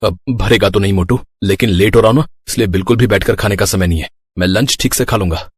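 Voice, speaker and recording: Male actor as caring elder brother in a family story, young, joking, expressive, recorded dry. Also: on the low side, quick pace, slightly rough, dry recording. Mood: playful, teasing. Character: caring, teasing elder brother of five sisters, working young man. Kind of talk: dramatized Hindi family story acting.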